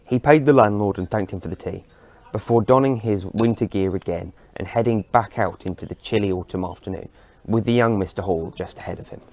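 A man's voice: fairly low pitch, a little fast, darkish tone. A man, reading scripted narration for an audio story.

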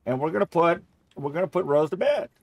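A man's voice: fairly high pitch, lively intonation, a little fast, vocal fry, a little mellow, dry recording. A middle-aged man giving casual, rambling, easily amused commentary as he plays a game. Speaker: gamer playing through a game.

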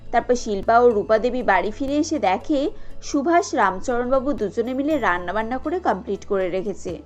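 A female narrator with a high voice tells a scripted domestic story. Music plays behind her. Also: a little mellow, highs cut off.

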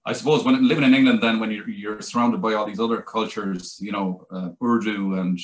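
A man's voice: on the low side, fairly even intonation, brisk. A middle-aged Irish man, a translator, talking at length on a video call from a small room, with many restarts and fillers. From Ireland. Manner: rambling, many restarts and "you know". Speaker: translator and author. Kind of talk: conversational interview over video call. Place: small indoor room, plain wall. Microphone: video call webcam.

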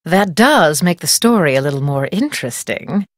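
An actress playing a haughty, gossipy middle-aged novelist in a video game, speaking in an arch, condescending, theatrical way. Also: female voice, low-pitched, sing-song, moderate pace, no room sound, studio quality. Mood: dismissive, morbid relish. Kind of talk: video game character acting.

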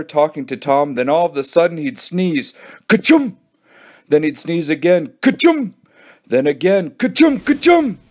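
A man voicing an excitable, scheming boy in a children's audiobook, melodramatic and full of exclamations. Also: a little high, natural voice. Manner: melodramatic, exclaiming, mimics sneezes. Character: excitable scheming boy acting out sneezing fits.

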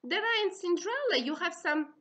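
A middle-aged woman with an Uzbek accent, lecturing to trainee teachers in simple, halting, instructive phrases. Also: very high-pitched, expressive, a bit bright. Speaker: university teaching-methods lecturer.

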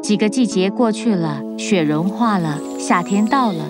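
A woman narrating a children's fairy tale in an even, clear, mid-pitched voice. She tells the story at a steady storybook pace. Music plays clearly behind her. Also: moderate pace.

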